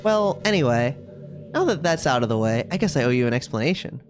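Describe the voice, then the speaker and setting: Visual novel schoolgirl, read by a man: cutesy, confiding, clingy, very animated delivery over game music. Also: medium pitch, a little fast, lightly acted. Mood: casual confession. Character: sweet, possessive, self-aware video game schoolgirl. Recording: music playing behind the voice.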